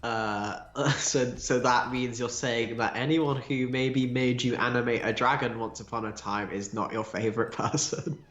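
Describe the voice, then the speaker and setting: A man's voice: mid-range voice, steady tone, measured pace, few pauses, fairly bright tone, lo-fi sound. A man co-hosting a livestream in a casual, jokey way as he chats and reads out comments. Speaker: livestream co-host. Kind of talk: casual livestream chat, reading viewer comments. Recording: roomy echo.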